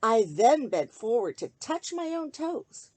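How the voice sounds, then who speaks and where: A woman reading a rhyming children's story aloud in an expressive, sing-song way, speaking directly to young listeners. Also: on the high side, measured pace.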